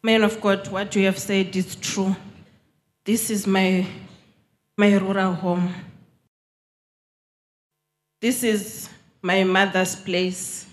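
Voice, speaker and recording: A woman with a Zimbabwean accent giving public testimony on a handheld microphone, pausing between short phrases. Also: mid-range voice, flat delivery. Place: large hall with congregation.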